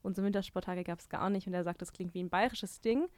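A woman's voice: medium pitch, quick pace, no room sound. A young woman with a Swabian accent, co-hosting a casual podcast chat and reading listener messages aloud. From Baden-Württemberg, Germany. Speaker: podcast co-host. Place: studio.

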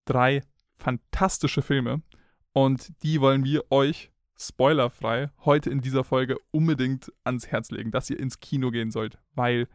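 Lockerer junger Mann, trocken aufgenommen. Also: male voice, mid-range voice, fairly even intonation, unhurried, no echo, clean studio sound. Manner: joking, laughs often, loose slangy banter. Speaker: podcast host. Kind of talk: casual film podcast chat.

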